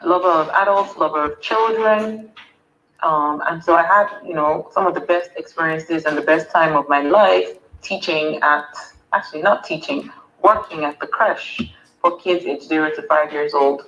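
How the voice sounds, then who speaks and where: A woman's voice: low-pitched, moderate pace, fairly bright tone, dull audio, a little hiss or hum. A Nigerian woman, a wellness coach, earnestly answering summit interview questions and repeating words for emphasis.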